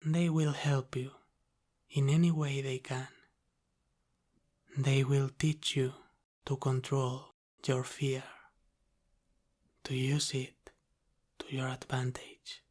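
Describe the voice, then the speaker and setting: A man playing a teasing, protective Italian-American mafia boss in an intimate ASMR roleplay, speaking softly and deliberately and slipping into whispers. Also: medium pitch, level tone. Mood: reassuring, protective.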